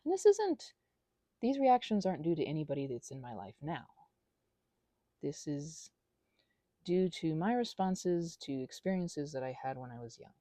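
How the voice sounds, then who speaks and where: A woman in her thirties giving a rambling, confessional ASMR monologue in a halting, earnest, self-deprecating way, with a husky, creaky voice. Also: low-pitched, very animated, mellow, no echo. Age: 30s. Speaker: ASMR channel creator.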